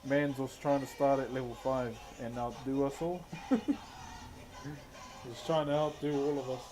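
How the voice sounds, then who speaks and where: A man with a New Zealand accent talks in a laid-back, slangy way and chuckles now and then. His voice sits at an ordinary pitch. Music plays clearly behind him. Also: steady tone, normal speed, rough recording. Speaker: wood carver streaming live. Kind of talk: casual chat with live viewers. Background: background music.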